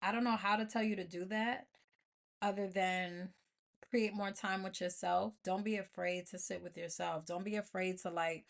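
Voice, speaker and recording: An American woman with a medium-pitched voice hosts a solo motivational podcast. Her delivery is informal and colloquial. She leans on emphasis and repetition to press each point. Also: fairly even intonation, moderate pace, creaky. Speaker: podcast host.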